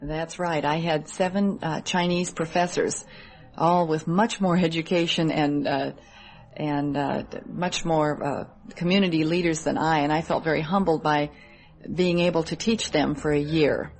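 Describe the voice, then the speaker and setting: A woman in her thirties speaks in a low-pitched voice with a Midwestern American accent. She answers as a radio interview guest, earnest and articulate in how she explains things. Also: steady tone, measured pace. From Midwest United States. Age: 30s. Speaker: interview guest, military wife.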